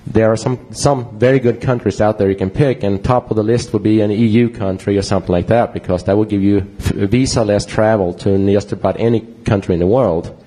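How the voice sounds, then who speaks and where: A man's voice: on the low side, dark tone, slightly rough, rough recording. A man with a Swedish accent presenting a talk with slides to an audience, casual and rambling with fillers and restarts. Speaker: conference presenter.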